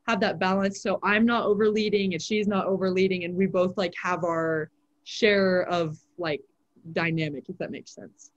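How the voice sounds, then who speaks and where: A teenage girl, a high school volleyball player, answering interview questions in a casual way with lots of "like" fillers. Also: dull audio. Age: teens. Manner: chatty, many "like" fillers.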